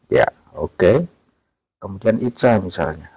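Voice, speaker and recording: Male tutorial host, low and gravelly, relaxed and chatty with lots of fillers. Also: slow, no room sound. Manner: relaxed, repeats "ya" and fillers often. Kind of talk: casual screen-recorded app tutorial.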